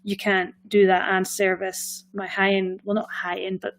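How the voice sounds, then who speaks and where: A Scottish woman hosting a relaxed interview, her voice in a middle register. She talks chattily, with plenty of fillers along the way. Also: mid-range voice, normal speed. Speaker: podcast host. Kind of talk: casual interview chat.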